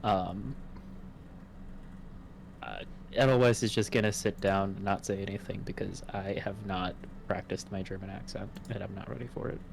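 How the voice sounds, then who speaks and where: A man playing a tabletop game, talking in a relaxed, self-deprecating way. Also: low-pitched, normal speed, creaky, background noise. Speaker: player. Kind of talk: casual chat during a tabletop game.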